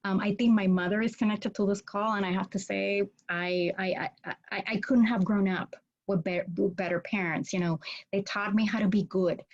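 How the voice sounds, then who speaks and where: Puerto rican woman on a webcam call, earnest and lively, english is her second language, kinda muffled sound. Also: medium pitch, normal speed. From Puerto Rico. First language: Spanish. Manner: earnest, animated, gestures a lot with her hands while talking. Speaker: law school dean, guest. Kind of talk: video call interview. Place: home office with bookshelves, indoors. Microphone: video call, webcam. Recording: dull lo-fi webcam audio.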